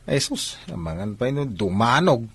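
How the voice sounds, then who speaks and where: An actor with a Filipino Ilocano accent playing a mocking friend in a family radio drama. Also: male voice, medium pitch, very animated, normal speed, fairly bright tone, breathy edge, exaggerated voice.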